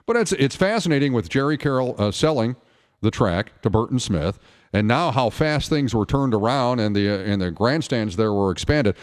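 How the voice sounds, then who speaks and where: A man's voice: medium pitch, animated, moderate pace. A man chatting conversationally about sports on a radio talk show. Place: radio studio.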